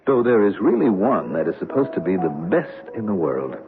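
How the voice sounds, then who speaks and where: A genial executive with an easy, affable charm and a lively, rising and falling delivery. It sounds like a tinny old radio play. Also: male voice, fairly low pitch, brisk, music in the background. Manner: smooth and affable. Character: smooth, genial executive hiding his past. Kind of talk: 1970s radio drama acting.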